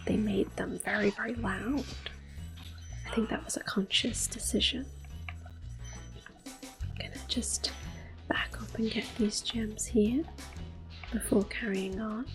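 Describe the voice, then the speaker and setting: A woman giving gentle, unhurried ASMR game commentary in a soft, breathy voice that often drops to a whisper. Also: medium pitch, fairly even intonation, rough recording. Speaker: gameplay host. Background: video game music.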